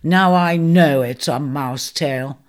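A woman's voice: deep voice, slow pace. A woman voicing a robust, hearty male centaur who speaks in a big, amused, open-minded way.

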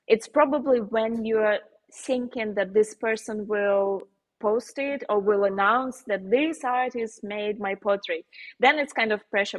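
A woman painter with a Slovenian accent, speaking as a guest in a casual interview, pausing often with fillers and restarts. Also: medium pitch, poor audio. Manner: halting, many fillers and restarts, laughs often.

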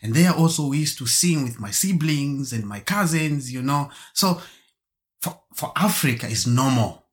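A man who grew up in Germany, talking as a musician guest in an interview, halting with fillers and restarts and laughing now and then. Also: medium pitch, lively intonation. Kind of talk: casual storytelling interview.

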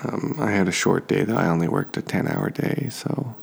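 A tired man hosting a sleep podcast, speaking softly in an unhurried, relaxed and understated ramble. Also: deep voice, creaky, slightly rough. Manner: slow, deliberately relaxed, understated. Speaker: podcast host. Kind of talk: soft-spoken rambling sleep podcast monologue.

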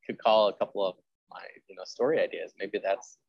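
A man's voice: low-pitched, a little fast, creaky, breathy edge, no room sound, muffled recording. A man hosting a casual interview about genre fiction, talking in a rambling way full of filler phrases. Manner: rambling, peppered with "like" and "you know". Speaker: interviewer and host; history teacher.

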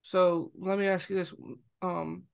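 A young man in his twenties hosts a casual podcast in a high, light voice. He asks curious questions and often stops and restarts them mid-sentence. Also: little pitch movement, measured pace, gravelly, smooth. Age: 20s. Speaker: podcast host.

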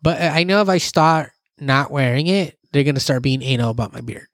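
A young man with a voice that is not deep, co-hosting a podcast in crude, laughing casual chat. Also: brisk, no echo, clean studio sound. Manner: laughing, swearing, mimics voices. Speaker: podcast co-host.

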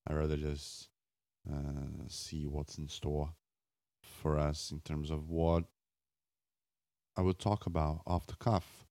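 Guy rambling on his podcast, super low raspy just woke up voice, dark and mellow, keeps stopping to find words. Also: dry recording. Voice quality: raspy morning voice. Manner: halting, hesitant, searching for words. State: just woken up. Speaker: podcast host. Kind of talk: rambling off-the-cuff solo podcast monologue.

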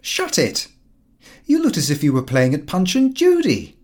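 Man putting on a loud, high, brash young fellow. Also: wildly swooping pitch, moderate pace. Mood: breezy, teasing. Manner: loud, slangy, flippant. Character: brash, breezy young man, cocky slangy son.

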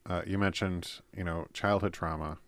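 A man's voice: low-pitched, fairly even intonation, normal speed, no echo. A man hosting a podcast, asking rambling, laid-back questions and laughing often. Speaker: podcast host. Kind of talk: casual interview.

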